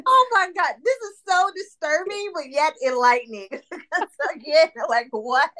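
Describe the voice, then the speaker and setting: A woman hosting a podcast, bubbly and quick to laugh, talking in an exclamatory, chatty way. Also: high voice, animated, pushed and bright, poor audio. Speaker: podcast host. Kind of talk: casual interview chat.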